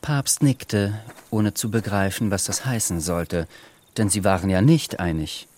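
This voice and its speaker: A man narrating a literary German radio drama in a measured, dry, gently ironic manner. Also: fairly low pitch. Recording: music under the voice.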